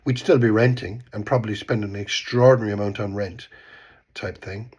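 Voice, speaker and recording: Irish guy, 50s, bunged up with a cold, husky lowish voice, rambly opinion talk. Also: steady tone, a little fast, darkish tone. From Ireland. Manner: rambling, repeats words, says "type thing". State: congested from a cold. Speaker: vlogger. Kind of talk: casual political commentary. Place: home in Bristol.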